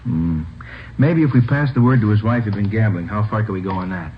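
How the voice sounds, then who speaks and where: An actor playing a blunt, probing police lieutenant in an old radio crime drama, with a curt, questioning manner. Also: male voice, fairly low pitch, brisk, close to an everyday voice. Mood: pondering. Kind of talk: 1940s-50s radio crime drama acting. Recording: tinny, faintly noisy old radio recording.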